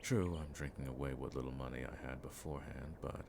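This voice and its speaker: A seasoned monster hunter played by a man speaks in an extremely low, gravelly voice that stays fairly flat. He is measured, wry and world-weary, yet friendly, in the style of a fantasy audio roleplay. Clear background ambience sits behind him. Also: noisy background. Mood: relaxed, wry. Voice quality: very deep, gravelly. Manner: measured, wry, old-fashioned turns of phrase.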